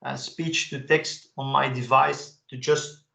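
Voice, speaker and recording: Man, gravelly voice, casual software walkthrough, roomy echo. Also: medium pitch, little pitch movement, slow. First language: Dutch. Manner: casual, enthusiastic, frequent "uh" fillers. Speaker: webinar presenter. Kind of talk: live software demo walkthrough.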